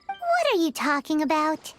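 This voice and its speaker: A very high squeaky fairy sidekick. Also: female voice, huge pitch swings, moderate pace. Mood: puzzled, cheeky. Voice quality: squeaky, childlike. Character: tiny squeaky fairy companion, childlike, blunt and nosy. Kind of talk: video game voice acting. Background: faint background music.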